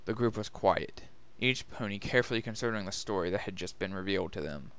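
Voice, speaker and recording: A man's voice: fairly low pitch, fast talker, a bit bright, clean tone. A man narrating a scripted story as a dramatic reading. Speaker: narrator and reader.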